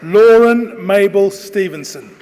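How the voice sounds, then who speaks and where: A man formally announcing graduates' names at a ceremony, with measured, deliberate enunciation, in a large hall. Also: high voice. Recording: reverberant hall, loud crowd noise behind. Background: loud crowd noise.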